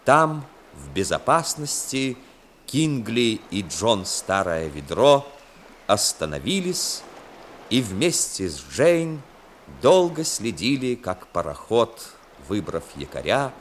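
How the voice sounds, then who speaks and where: A man narrating a literary story in a 1960s radio play, expressive and measured. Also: on the low side. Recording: 1960s radio broadcast with faint noise.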